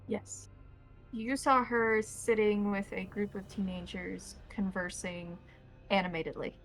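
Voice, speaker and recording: Lady running a tabletop rpg, gravelly fry in her voice, describes everything carefully, soft music under her. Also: medium pitch, fairly even intonation, unhurried, poor audio. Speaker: game master. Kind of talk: narrating a tabletop roleplaying game.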